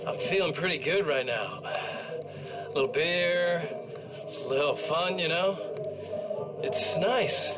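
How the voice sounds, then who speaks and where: An actor playing an evil double in a video game, loose, tipsy and falsely friendly. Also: male voice, a little high, takes it slowly, pauses often, very noisy. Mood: tipsy, chummy. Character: menacingly chummy evil double of the hero. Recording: very loud music behind.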